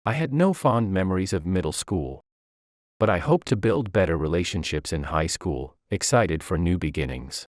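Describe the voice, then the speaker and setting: A man's voice: low-pitched, lively intonation, moderate pace, no room sound, clean studio sound. A man reading a scripted dramatic story as its narrator.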